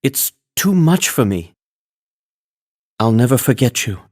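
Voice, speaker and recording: A man playing a soft-spoken, guilt-ridden young gentleman in a game cutscene, with a halting, solemn delivery. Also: medium pitch, big pitch swings. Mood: grieving, subdued. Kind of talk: animated game cutscene acting. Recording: music behind the voice.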